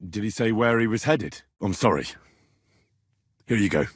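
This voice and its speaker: An actor playing an earnest, blunt young medieval squire in a video game, plain-spoken and a little husky. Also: male voice, on the low side, expressive, normal speed, no room sound, clean studio sound. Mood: courteous. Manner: plain-spoken, polite to nobles, bluntly challenging when provoked. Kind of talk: video game dialogue acting.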